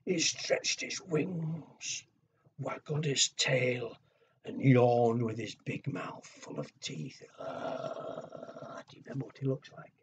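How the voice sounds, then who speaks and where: A man telling a story to young children, with a husky voice, hushed dramatic pauses and simple questions to his listeners. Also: medium pitch, lively intonation, slight background noise. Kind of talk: children's storytelling and nursery rhymes. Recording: dull lo-fi recording.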